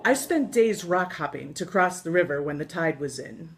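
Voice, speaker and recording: An American woman reading her own scripted travel memoir aloud in an expressive storytelling manner, with deliberate pauses. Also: low voice, music in the background. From United States. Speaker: author reading from own book.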